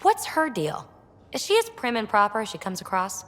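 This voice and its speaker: A woman playing a breezy, irreverent and curious young alien researcher in a video game, speaking in an animated way. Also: mid-range voice, normal speed, long reverb tail. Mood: playful, curious. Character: lively, cheeky, curious young female alien researcher and rogue explorer.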